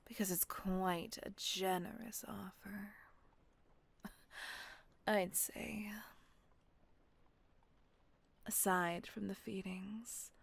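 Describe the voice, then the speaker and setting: A woman voicing a gentle, possessive female vampire mistress in a whispered audio roleplay, slow and coaxing. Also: mid-range voice, exaggerated voice. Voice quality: breathy whisper. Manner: slow, coaxing, softly reassuring.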